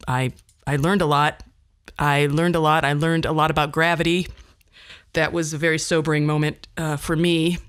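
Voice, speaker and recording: Clear-voiced, wry American woman podcast host. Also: low voice, dry recording, studio quality. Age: middle-aged. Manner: hesitant, wry, self-deprecating. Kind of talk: casual, rambling monologue.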